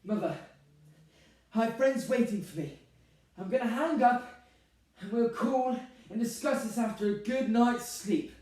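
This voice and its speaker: A man's voice: very high voice, measured pace, pauses often, dark tone. Young man as put-upon groom, emphatic stage delivery, exasperated, very echoey, far from the microphone. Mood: pleading. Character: harried, put-upon groom recounting a family crisis. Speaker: drama student performer. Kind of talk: dramatic stage monologue. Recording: very echoey room, distant microphone.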